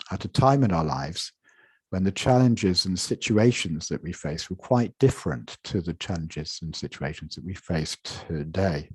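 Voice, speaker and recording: A man giving a measured conference lecture from slides, with frequent repetitions and restarts, speaking over an online video call. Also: sits low, a little mellow, slightly rough, no room sound. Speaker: conference presenter.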